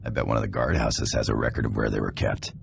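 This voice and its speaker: A man voicing a gruff, dry-witted, gravelly companion in a game cutscene. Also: a bass voice, fairly even intonation, fast, background noise, full character voice. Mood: dry, wry.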